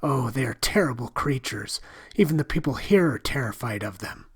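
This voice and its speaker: A man's voice: medium pitch, lively intonation, normal speed, husky. A man reading a story, voicing a timid, frightened young woman with breathless, whispery speech.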